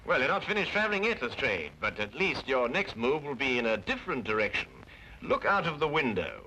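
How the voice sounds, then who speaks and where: A man playing a decisive, urbane gentleman detective with a British accent, brisk, polite and self-assured, in old-time drama style. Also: medium pitch, very animated, slight background noise, rough recording. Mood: wry.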